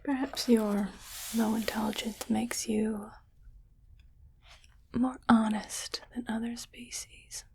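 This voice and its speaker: A woman playing a condescending, curious rich alien in a slow, breathy ASMR whisper, deliberate and detached. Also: a little high, fairly even intonation, a little hiss or hum, poor audio. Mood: musing, patronizing.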